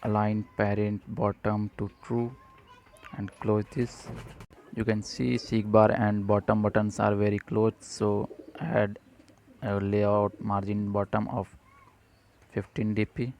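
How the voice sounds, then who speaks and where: Guy doing a coding tutorial, lowish gravelly voice, pretty flat, stop-and-start phrases, bit of noise behind him. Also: a little slow. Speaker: tutorial presenter. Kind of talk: step-by-step software tutorial narration.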